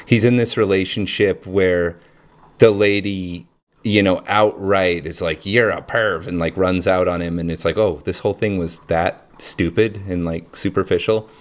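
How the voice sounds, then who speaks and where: A man with a low voice hosting his own channel, talking through ideas in a rambling, reflective monologue full of fillers and frequent laughter. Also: steady tone, normal speed. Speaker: channel host.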